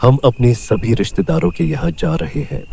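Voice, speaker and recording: A man playing a worried older farmer and father in a Hindi cartoon story, speaking earnestly and in measured phrases. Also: mid-range voice, expressive, vocal fry, darkish tone, a little hiss or hum. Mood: calm. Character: worried old farmer, father of three lazy sons.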